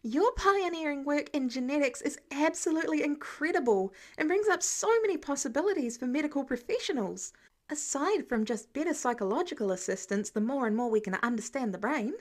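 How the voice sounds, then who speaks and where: A woman playing an eager teenage boy science prodigy in an audiobook, talking in an excitable, earnest way. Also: high voice, brisk. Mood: eager admiration.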